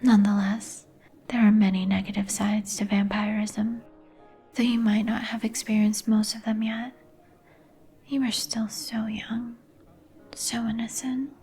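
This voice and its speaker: Casting: actress for somber vampire gentlewoman, whispered and even-toned, courteous antique diction, ASMR roleplay over music. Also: fairly high pitch, a little slow. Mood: gloomy, cautioning. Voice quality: whispered, breathy. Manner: formal, old-fashioned, somber phrasing. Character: melancholy, courteous vampire woman, turned young some 150 years ago. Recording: music behind the voice.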